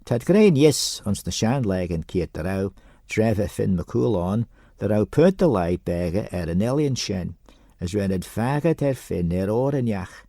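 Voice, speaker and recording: Male radio reader, deliberate bilingual storyteller, low gravelly warm tone. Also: animated, no room sound, studio quality. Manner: slow deliberate reading aloud. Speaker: radio presenter reading a story.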